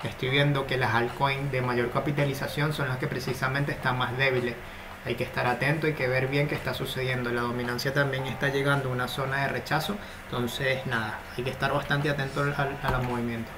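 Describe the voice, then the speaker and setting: A man gives a screen-recorded crypto market analysis, talking through each chart in a steady, explanatory way. His voice sits in the middle range for a man. The recording carries clear background noise from his home setup. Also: mid-range voice, brisk.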